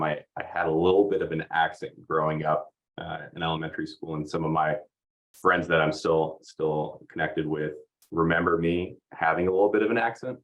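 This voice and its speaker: Young man, very deep voice, easygoing, halting with fillers, casual interview guest. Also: low-quality recording. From United States, Oregon. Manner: easygoing, hesitant with many fillers.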